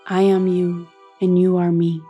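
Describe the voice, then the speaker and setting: A woman voicing a gentle, grieving corn spirit. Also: on the low side, monotone, unhurried, slightly rough, lo-fi sound, soft background music. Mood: tender. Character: grieving elder corn-plant sister spirit. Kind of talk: scripted storybook narration.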